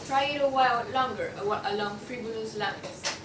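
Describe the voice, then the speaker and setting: Breezy, higher-voiced frivolous woman, student acting. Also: normal speed, lightly acted. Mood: breezy, insistent. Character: breezy, frivolous woman urging a lighter touch. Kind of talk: student table read of a stage play. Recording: distant echoey room with clear noise.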